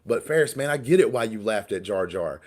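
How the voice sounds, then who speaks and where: Rambling low-voiced man in his thirties. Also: animated, brisk. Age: 30s. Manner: rambling, repeats words, stumbles and restarts. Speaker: podcast co-host. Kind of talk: casual podcast conversation.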